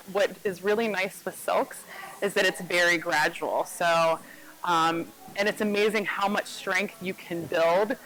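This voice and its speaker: A woman's voice: lowish voice, fairly bright tone, rough recording. A woman in her forties from Pennsylvania, an aerial arts student, speaking candidly in a casual interview. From Pennsylvania, USA. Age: 40s.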